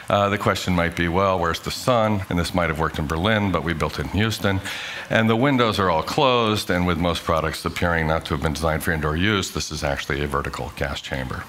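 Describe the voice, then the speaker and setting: A middle-aged man, an architect, giving a prepared talk with emphatic, measured delivery and rhetorical questions. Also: deep voice, fairly bright tone, a little hiss or hum. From grew up in Hong Kong. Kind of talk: prepared conference talk played in class.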